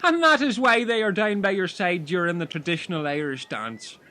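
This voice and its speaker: A man voicing Jimmy, an excitable show-off islander who rambles and boasts silly things. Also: sits high, moderate pace, soft background music. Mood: rambling.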